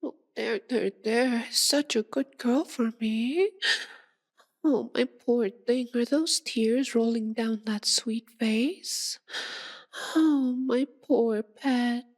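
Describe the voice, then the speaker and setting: A woman's voice: fairly low pitch, drawn-out words, pauses often, full character voice. A woman voicing a sadistic, possessive female vampire in an intimate ASMR roleplay, purring and domineering, often dropping toward a whisper. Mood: mock tender, soothing.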